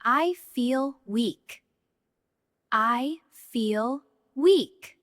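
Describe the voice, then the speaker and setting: A woman teaching English, repeating each short sentence several times in a slow drill, often dropping to a whisper. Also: a little high, expressive, no echo, clean studio sound.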